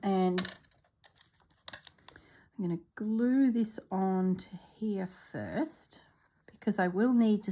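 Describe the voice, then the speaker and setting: A woman narrating her crafting work in a soft, relaxed voice, sometimes dropping to a whisper, congested and clearing her throat. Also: fairly low pitch. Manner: soft-spoken, often drops to a whisper while working. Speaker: craft video maker.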